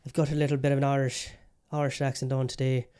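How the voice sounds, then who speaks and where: A man's voice: mid-range voice, level tone, fast talker, mellow, smooth. A man hosting his own podcast in a casual solo chat, upbeat and rambling, often repeating his phrases. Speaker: podcast host.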